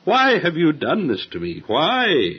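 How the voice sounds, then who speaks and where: A man's voice: fairly high pitch, huge pitch swings, measured pace, breathy edge, highs cut off, heavily acted. An actor playing an imperious, easily flustered Egyptian king in an audio Bible drama, theatrical and sputtering. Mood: hurt, reproachful.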